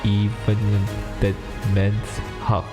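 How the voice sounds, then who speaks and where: A man reading a visual novel aloud for a let's play, slow and dramatic, stopping to joke with a friend. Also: on the low side, monotone, in a large hall, loud music behind the voice. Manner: reads slowly with pauses, breaks off to joke and laugh.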